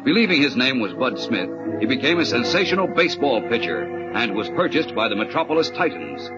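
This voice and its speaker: A man's voice: medium pitch, big pitch swings, fairly quick, lightly acted. A man narrating as a dramatic, suspense-building announcer for an old-time radio serial. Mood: dramatic, suspenseful. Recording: muffled old radio broadcast, loud organ.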